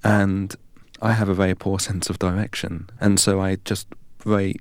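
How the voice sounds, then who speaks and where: A man from England, a guest in an interview, telling his story in a measured, deliberate way. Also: low-pitched, little pitch movement, no echo. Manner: measured and deliberate, recites long strings of numbers evenly.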